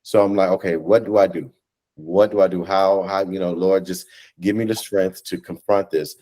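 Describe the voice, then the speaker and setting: An American man in his thirties speaking earnestly as an interview guest on a video call, halting and repeating phrases for emphasis. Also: low voice, steady tone, warm tone, low-quality recording. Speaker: guest, stage play cast or crew member. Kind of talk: reflective conversational interview. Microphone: video call microphone.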